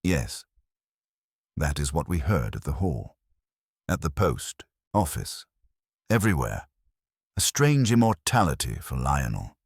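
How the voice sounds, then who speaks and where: Man voicing a proud, coolly sardonic woman, low and husky. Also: big pitch swings, measured pace, pauses often, no room sound, clean studio sound, full character voice. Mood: cool, wry. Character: composed, sharp-tongued, proud woman suspected of deception.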